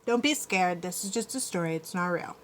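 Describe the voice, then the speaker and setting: A woman reading a picture book aloud as a schoolteacher, pausing to explain and check that children follow. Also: on the low side, lively intonation, heavy background noise. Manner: explanatory, checks understanding with tag questions. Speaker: elementary school teacher. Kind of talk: picture-book read-aloud with teaching asides.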